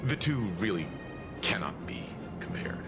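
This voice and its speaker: A man playing a mature, scholarly gentleman in a video game, speaking politely and formally with boyish enthusiasm underneath. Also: low voice, wildly swooping pitch, unhurried, creaky, exaggerated voice. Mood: wistful, earnest. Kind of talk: anime-style video game voice acting. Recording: music behind the voice.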